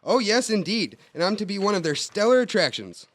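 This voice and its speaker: A man's voice: high voice, very animated, fairly quick, fairly bright tone. A man voicing a tiny, chummy British circus performer with quaint, polite upper-class phrasing, in an old-time radio play. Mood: proud. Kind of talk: 1940s-style radio drama acting.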